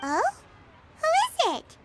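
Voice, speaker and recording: Game role: a tiny perky fairy companion, extremely high and squeaky, swooping and inquisitive. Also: female voice, wildly swooping pitch, takes it slowly, very noisy, heavily acted. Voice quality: squeaky, childlike. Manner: exclamatory, inquisitive. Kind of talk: video game voice acting. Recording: loud music under the voice.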